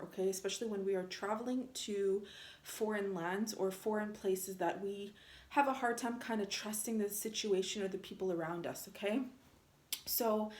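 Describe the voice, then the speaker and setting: A woman's voice: medium pitch, a little mellow. A woman in her thirties from Armenia whose first language is Armenian, speaking earnestly to camera as a travel vlogger and often checking in with the viewer. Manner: earnest, keeps checking in with "okay?". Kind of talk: casual direct-to-camera monologue. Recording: roomy echo.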